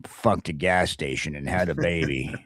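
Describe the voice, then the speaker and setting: A man's voice: extremely low pitch, fairly even intonation, normal speed, fairly bright tone, dry recording. A middle-aged American man hosting a casual, comedic morning chat show, telling rambling stories with crude humor. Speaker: talk show host.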